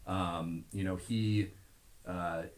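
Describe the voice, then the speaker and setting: A very low-voiced American man talks in a casual interview. He speaks earnestly and rambles along, leaning on filler phrases. The room adds a noticeable echo to his voice. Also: steady tone, very slow. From Omaha, Nebraska. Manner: rambling, earnest, frequent "you know". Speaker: podcast guest, gym owner. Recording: roomy, echoing podcast recording.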